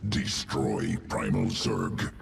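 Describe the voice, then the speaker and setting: A clinical, proud alien creature from a game, speaks in slow, clipped fragments. The rasp creaks and gurgles from a little way off, in a heavy echo. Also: male voice, very deep voice, expressive, a little hiss or hum, full character voice. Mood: indignant. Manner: clipped telegraphic sentences, deliberate. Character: clinical, fussy alien mutation-engineer creature, proud and indignant. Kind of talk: video game cutscene acting. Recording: echoey, distant, music behind.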